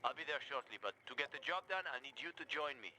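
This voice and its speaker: An actor playing a brisk male ally who gives orders over a radio in a video game. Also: medium pitch, animated, sharp tone. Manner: brisk, radio-style commands. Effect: radio transmission. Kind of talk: video game cutscene acting. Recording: noisy radio line.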